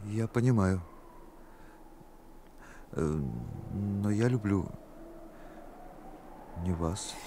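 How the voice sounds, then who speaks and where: A man's voice: fairly low pitch, drawn-out words, long pauses, warm tone. An actor in a Soviet radio play, playing a reserved, honest man with a restrained, terse way of talking. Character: reserved, honest male composer, reluctant in love. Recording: faint noise, old radio recording.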